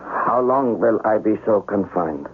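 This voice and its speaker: A man's voice: lowish voice, expressive, a little slow, husky. A man playing a proud, devoted young samurai master in a radio drama, formal and earnest. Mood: shaken. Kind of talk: old-time radio drama acting. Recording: muffled radio recording with faint noise.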